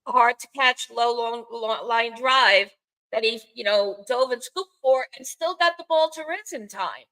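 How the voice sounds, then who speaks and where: An older woman with a Bronx accent and a bright, forward voice pitched a little high. She co-hosts a sports podcast, talking loosely and off the cuff, with wry asides at her own expense. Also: measured pace, low-quality recording. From the Bronx, New York, United States. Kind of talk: casual unscripted baseball chat.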